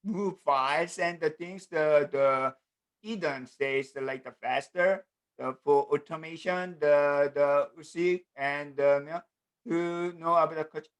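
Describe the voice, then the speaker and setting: Korean man teaching in halting English. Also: fairly high pitch, clear, resonant tone. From South Korea. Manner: halting, with frequent fillers and repeated "the". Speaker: programming teacher. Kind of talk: online class lecture over video call. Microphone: computer mic on a video call.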